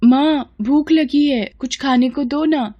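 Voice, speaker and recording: Woman voicing a high-pitched sweet little girl. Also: takes it slowly, muffled recording. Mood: hungry, pleading. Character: hungry, sweet little village girl, easily pleased. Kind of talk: Hindi cartoon story voice acting.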